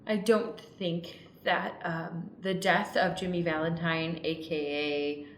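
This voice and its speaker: A woman's voice: lowish voice, level tone. Solemn monk in an echoey room, played by a woman. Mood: solemn, hesitant. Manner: hesitant, earnest speechmaking with halting pauses. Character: solemn, earnest female monk on a spiritual journey. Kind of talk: improvised radio play acting. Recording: roomy echo with faint noise.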